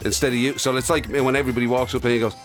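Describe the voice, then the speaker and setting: A man with an Irish accent co-hosting a casual comic podcast, teasing, animated and sweary. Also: medium pitch, racing, faint music behind. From Ireland. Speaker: podcast and radio host. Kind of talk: casual comic banter between co-hosts.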